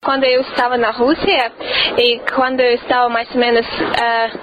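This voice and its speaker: A young woman from Russia with a Russian accent, speaking halting learner's Portuguese in a bubbly way in an interview. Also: fairly high pitch, level tone. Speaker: language student. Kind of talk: interview answer. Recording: slightly dull recording with noisy ambience.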